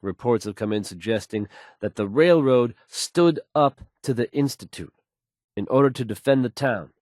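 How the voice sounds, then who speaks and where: An actor playing a confident radio DJ who reads post-apocalyptic news bulletins in an assured, measured, folksy announcer manner. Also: male voice, mid-range voice, a little mellow, dry recording, studio quality, natural voice. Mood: measured, serious. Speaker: radio DJ and newsreader.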